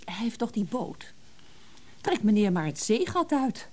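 An actress playing a stern middle-aged wife in a radio drama, sharp-tongued, sarcastic and bossy. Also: female voice, fairly high pitch, sing-song, a little slow. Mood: indignant, bossy. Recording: radio play with faint background noise.

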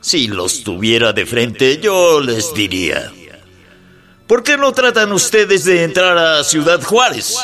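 A contemptuous army colonel in a radio drama. The voice is highish and very animated, with a Mexican accent, oratorical and mocking, over faint music. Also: male voice, full character voice. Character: scornful, taunting male army colonel. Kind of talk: declaimed radio drama monologue.

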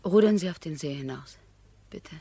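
An actress playing a refined married noblewoman in a radio drama, measured and formal, with restrained yearning. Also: low voice, lively intonation, mellow, breathy edge, exaggerated voice. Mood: melancholy. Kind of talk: 1970s German radio play acting.

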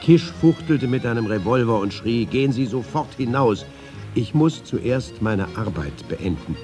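A man's voice: mid-range voice, expressive, unhurried, darkish tone. A man reading a scripted historical anecdote in a storytelling style. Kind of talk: scripted storytelling with quoted dialogue. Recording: clear music bed behind voice.

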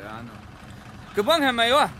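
An actor playing a beleaguered police inspector with a Bavarian accent, gruff, curt and defensive, in a radio drama. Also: male voice, extremely high pitch, wildly swooping pitch, fast, pushed and bright, a little hiss or hum, full character voice. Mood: curt, guarded.

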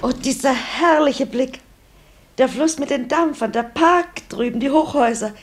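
A woman's voice: high voice, a little slow. An actress playing a doting, fussy middle-aged mother in a mid-century radio play, effusive and sentimental. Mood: delighted, gushing. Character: doting, fussy, increasingly uneasy visiting mother. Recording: noisy vintage radio play recording.

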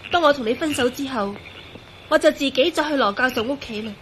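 A woman's voice: high-pitched, brisk, a bit bright, slight background noise. An actress playing a plucky, earnest young woman in a radio drama. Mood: frank recounting. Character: plucky young woman searching for her fiancé. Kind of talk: Cantonese radio drama acting.

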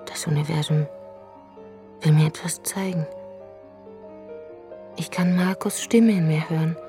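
An actress performing a radio drama monologue, narrating in a hushed, brooding way. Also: female voice, low-pitched, fairly even intonation, unhurried, faint music behind.

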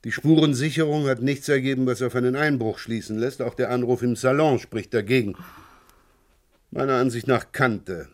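An actor playing a wily police inspector in a German radio crime play, probing with hesitant, feigned casualness. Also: male voice, mid-range voice, normal speed, lightly acted. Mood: speculating. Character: wily male police inspector questioning a neighbour of a murder victim.

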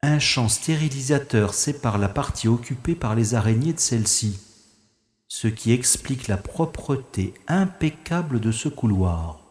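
A man's voice: medium pitch, expressive, unhurried, a little mellow, lightly acted. An actor playing a wry male secret agent who narrates his own sneaking through a manor in a sci-fi audio drama. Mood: wary. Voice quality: dry, ironic inner monologue. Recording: echoey room with long reverb.

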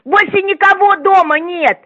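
A woman's voice: extremely high pitch, normal speed. A woman in her sixties on a phone call, scolding and insistent, repeating herself. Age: 60s. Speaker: prank call target. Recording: muffled phone line.

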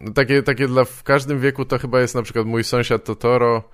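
A man hosting a casual conversation, speaking in a halting, tentative way. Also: medium pitch, steady tone, no room sound, studio quality. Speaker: podcast host, interviewer.

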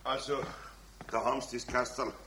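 A man's voice: medium pitch, level tone, normal speed, vocal fry, background noise, close to an everyday voice. A man playing a kindly, patient older craftsman with a Bavarian accent and a homely, hesitant manner in a 1970s children's radio play. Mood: hesitant, worried.

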